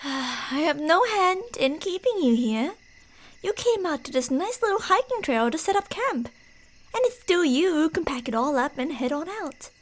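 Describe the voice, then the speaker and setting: A woman playing a creepy, delusional stalker posing as a park ranger in an ASMR roleplay, teasing and falsely sweet, slipping between soft speech and whispers. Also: high voice, animated, normal speed. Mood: falsely sweet, menacing.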